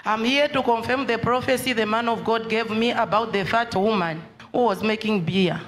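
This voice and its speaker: A woman interpreting a testimony in short, measured phrases. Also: fairly high pitch, steady tone. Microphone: handheld microphone. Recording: handheld mic, faint hall noise.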